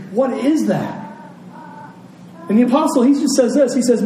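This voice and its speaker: Higher-pitched American man preaching, roomy sound. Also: dark tone. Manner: reflective, repeats phrases for emphasis. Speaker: pastor. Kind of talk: conversational sermon to a congregation. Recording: roomy, distant mic, faint noise.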